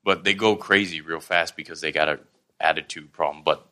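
Young man from Thailand, very low voice, bright and forceful tone, casual podcast chat. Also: brisk. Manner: animated storyteller, acts out quoted dialogue.

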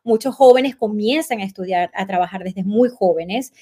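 A woman's voice: mid-range voice, warm tone, husky. Venezuelan woman telling her story. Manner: reflective, storytelling, pauses to search for words. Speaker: guest journalist. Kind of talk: relaxed conversational interview.

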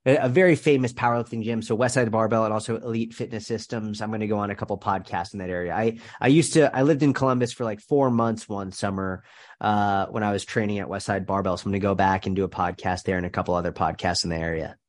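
A young man with a nasal, stuffy voice from a head cold, chatting fast as a podcast guest and restarting his sentences often. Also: lowish voice. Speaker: podcast guest, strength and nutrition coach. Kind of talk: casual interview chat.

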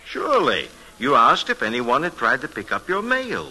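An actor playing a polite, chatty post office clerk in an old radio drama. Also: male voice, medium pitch, huge pitch swings, moderate pace, pushed and bright, slightly rough, exaggerated voice, rough recording. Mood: helpful, puzzled. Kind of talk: 1940s radio acting.

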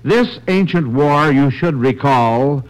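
A man's voice: mid-range voice, lively intonation, takes it slowly, clean tone, low-quality recording. An American man hosting a 1940s radio quiz for a panel of children, with a folksy, encouraging manner. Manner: encouraging, folksy, repeats and affirms answers.

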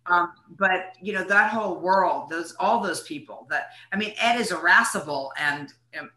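A woman's voice: on the low side, normal speed, pushed and bright, echoey room, rough recording. An older woman, an actress being interviewed, casually reminiscing in conversation.

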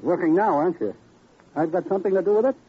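An actor playing a folksy boxing manager in an old radio crime drama, rambling and repeating himself in streetwise talk. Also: male voice, high voice, fast, husky. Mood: affable, wary. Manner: repetitive, streetwise, chatty. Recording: muffled vintage radio recording.

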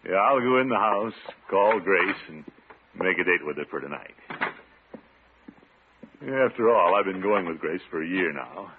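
An actor playing a pompous, blustery middle-aged American water commissioner in a classic radio comedy, with a theatrical manner. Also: male voice, deep voice, expressive, normal speed, pauses often. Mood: musing. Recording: tinny, noisy old radio recording.

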